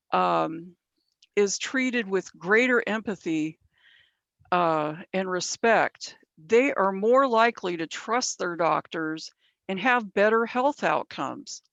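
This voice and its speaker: Woman running a mentoring training, slow and a bit gravelly, dry close sound, voice kinda going. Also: fairly high pitch, fairly bright tone, dry recording, lo-fi sound. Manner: explanatory, checks in with "right?". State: losing her voice. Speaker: mentoring workshop presenter. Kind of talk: online training presentation. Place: from San Bernardino County, California.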